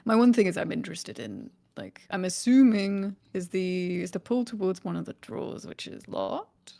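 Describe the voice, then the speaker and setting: A woman with an average-pitched voice and plenty of vocal fry plays a tabletop game. She talks casually, hesitating and thinking aloud as she decides what to do. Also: animated, normal speed, mellow.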